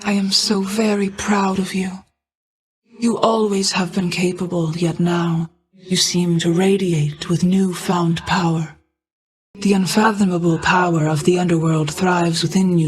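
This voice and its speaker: A woman voicing a regal, ageless, motherly goddess of night in a video game, speaking in formal, measured, archaic phrasing. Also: on the low side, fairly even intonation, lightly acted, low-quality recording. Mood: calm, proud. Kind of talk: scripted video game voice acting.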